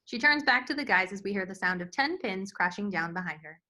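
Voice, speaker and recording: Bright-voiced woman narrating a screenplay. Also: medium pitch, expressive, a little fast. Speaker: stage-direction narrator. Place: remote virtual table read.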